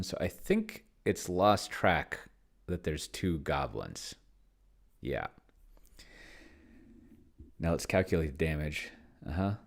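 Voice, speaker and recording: A man giving casual think-aloud commentary on a game, musing to himself and trailing off. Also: deep voice. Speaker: solo tabletop game player and demonstrator.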